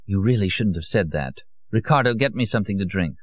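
A man's voice: lowish voice, lively intonation, fairly quick, no echo, lo-fi sound, natural voice. A man voicing a drunk, theatrical young woman who drawls coyly and stumbles over her words. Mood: teasing. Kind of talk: audiobook character voice.

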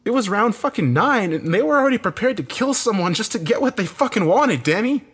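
A man's voice: a little high, animated, moderate pace, slightly rough, voice pushed up. A man voicing a desperate, bitter man in a dramatic story, agitated, urgent and given to swearing. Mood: bitter, furious. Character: Josh, desperate bitter man, cornered game loser.

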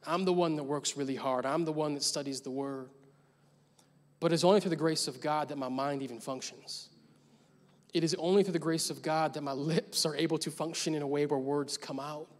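A man's voice: on the high side, steady tone, brisk. A young adult man preaching a sermon as pastor, telling a story casually and building to emphatic points. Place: large church hall. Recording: long church hall reverb.

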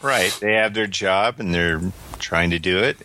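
A man's voice: low voice, a little slow, pushed and bright, slight background noise. A tired man co-hosting a podcast, chatting casually in a laid-back, agreeable way. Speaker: podcast co-host.

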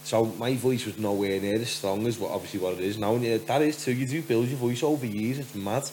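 Deep-voiced Liverpool MC, rambling. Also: male voice, fairly quick, a little mellow, a little hiss or hum. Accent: softened Scouse. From Liverpool, England. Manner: rambling storyteller, frequent "do you know what I mean". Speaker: guest MC. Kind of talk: casual podcast chat.

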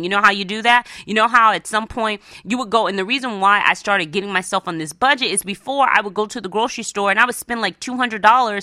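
A woman's voice: medium pitch, bright, forceful tone, dry recording, clean studio sound. A woman hosting a radio show, giving conversational, motivational advice in an emphatic way, repeating phrases to drive points home.

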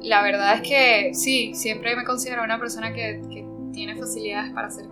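A woman's voice: on the high side, little pitch movement, normal speed, music in the background. A Venezuelan woman from Margarita Island answering interview questions in a reflective way, often searching for words. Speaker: interview guest. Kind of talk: conversational interview.

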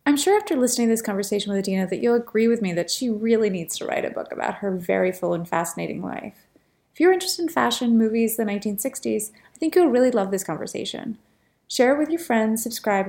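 A woman's voice: on the high side, creaky, darkish tone. A woman hosting a podcast, reading a scripted introduction and promoting her newsletter and website. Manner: read-aloud promotional delivery. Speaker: podcast host.

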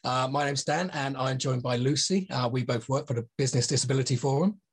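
A male podcast co-host opens the show and asks questions, pausing often on fillers. His voice is slightly husky at a middle pitch. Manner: hesitant, many fillers. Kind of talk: podcast hosting.

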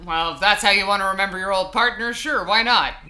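A man's voice: high-pitched, fast talker, bright, forceful tone. A man playing an evasive, chummy old police partner in an improvised noir audio play, coaxing and noncommittal. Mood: breezy, chummy. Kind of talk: improvised film noir audio drama acting.

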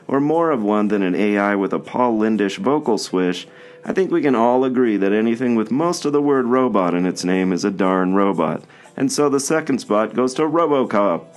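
A man co-hosting a podcast, reading a scripted comedy list of robots in a dry, sarcastic way. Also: on the low side. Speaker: podcast co-host.

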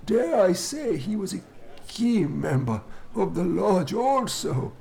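An actor playing a village reverend in an audio drama, knowing and quietly sinister, with a deliberate, portentous delivery. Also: male voice, sits high, big pitch swings, vocal fry, full character voice. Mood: quietly insinuating.

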